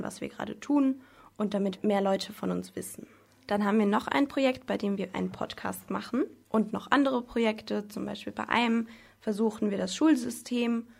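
A woman's voice: mid-range voice, creaky, a little mellow. A teenage girl answering interview questions as a student representative, explaining things earnestly in simple language. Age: teens.

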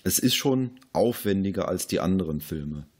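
A man's voice: deep voice, expressive, normal speed, darkish tone, breathy edge. A man podcast host talking in a casual film discussion.

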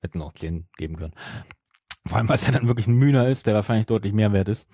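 A man's voice: on the low side, expressive, very fast, mellow, dry recording, studio quality. A man hosting a podcast, chatting casually in an argumentative, ironic way. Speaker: podcast host. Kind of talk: casual chat.

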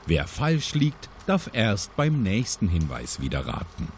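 A man's voice: lowish voice, wildly swooping pitch, unhurried, slight background noise, full character voice. A man voicing a solemn, dramatic taped agency briefing, delivered as a portentous, emphatic scripted announcement.

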